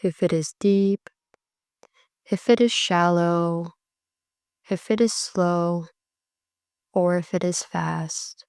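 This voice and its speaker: Slow, soothing woman guiding meditation. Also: on the low side, fairly even intonation, clear, resonant tone, no room sound, clean studio sound. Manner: slow, soft and soothing, drawn-out phrases. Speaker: meditation guide. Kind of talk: guided meditation.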